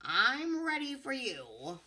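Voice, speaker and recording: Comic storybook crone: a woman playing an old lady bear, guttural and raspy, lively pitch. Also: slow, far from the mic, slight background noise, heavily acted. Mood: menacing. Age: older. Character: old female bear, gravelly, kind under a scary face.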